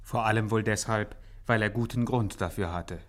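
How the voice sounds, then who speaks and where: Actor as mock-solemn radio chronicler. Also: male voice, lowish voice, normal speed, lightly acted. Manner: ceremonious, deadpan satire. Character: earnest mock-solemn biographer of a famous gangster. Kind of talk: 1950s German radio play narration.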